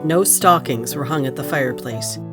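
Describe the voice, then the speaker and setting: An actress narrating an audio play as a calm storyteller with a composed, literary delivery. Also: female voice, low-pitched, moderate pace, music in the background, close to an everyday voice.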